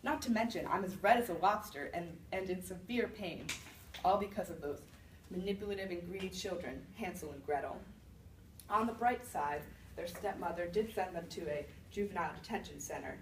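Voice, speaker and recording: A woman playing an indignant old widow accused of being a witch, telling her side of a fairy tale in a conversational, halting monologue. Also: fairly low pitch, close to an everyday voice. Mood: offended, bitter. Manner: conversational, self-justifying, with halting restarts. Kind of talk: first-person storytelling monologue. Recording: roomy, distant mic with faint noise.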